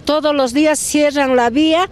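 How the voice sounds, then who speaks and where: A middle-aged woman explaining things haltingly in a spontaneous street interview. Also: high voice, steady tone. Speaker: market trader, interviewee. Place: street under festival tent, outdoors. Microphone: handheld reporter microphone. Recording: outdoor street noise.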